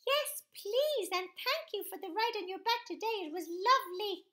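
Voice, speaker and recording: A woman voicing a small polite baby boy in a children's story, with a squeaky pleading voice. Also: moderate pace, pushed and bright. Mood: sweet.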